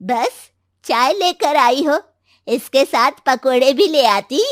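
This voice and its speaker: A woman's voice: sits high, expressive, takes it slowly, no room sound, studio quality. A woman playing a demanding, bossy middle-aged mother-in-law in a story, with a commanding, nagging manner.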